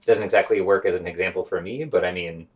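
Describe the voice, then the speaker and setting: Casting: man, very low voice, reflective panelist in an informal podcast chat, phrasing things tentatively. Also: fairly even intonation, quick pace, lo-fi sound. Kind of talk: casual discussion.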